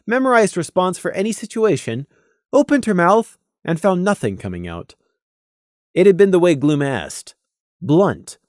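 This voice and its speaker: An expressive storyteller reads scripted fiction aloud. The delivery is dramatic, with a very lively, mid-pitched melody and deliberate pauses. The sound is dry, with little room in it. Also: no echo. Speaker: audiobook narrator.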